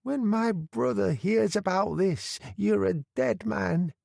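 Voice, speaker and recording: A man's voice: high-pitched, takes it slowly, vocal fry, a little mellow, no echo, clean studio sound, pitched above the performer's own voice, full character voice. A man doing a sneering young thug. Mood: angry threats. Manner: angry, sneering. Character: young male thug, cowardly and spiteful.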